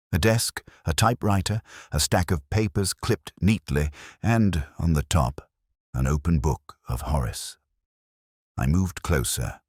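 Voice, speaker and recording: Guy doing a really low world-weary detective narrating his case, solemn n wry, swoopy pitch, dry close sound. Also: husky, no room sound, exaggerated voice. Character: brooding, world-weary, literary English police detective. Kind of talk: scripted first-person detective story narration.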